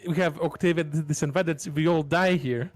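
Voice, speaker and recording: Higher-pitched, blunt male game player. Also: fairly even intonation, quick pace, no echo. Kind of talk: casual in-game roleplay and debate. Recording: music behind the voice.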